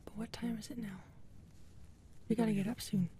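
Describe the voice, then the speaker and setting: A woman voicing an affectionate, playful female alien lover in an intimate audio roleplay, speaking softly and breathily with a teasing manner. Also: a little high, fairly even intonation, moderate pace, a little hiss or hum, natural voice. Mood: playful, loving. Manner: soft, breathy, teasing whispering.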